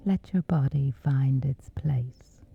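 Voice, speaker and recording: A woman with a whispery voice, slowly and gently guiding a sleep meditation. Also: a bass voice, vocal fry, narrow, tinny audio. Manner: slow, soothing, unhurried. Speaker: meditation guide.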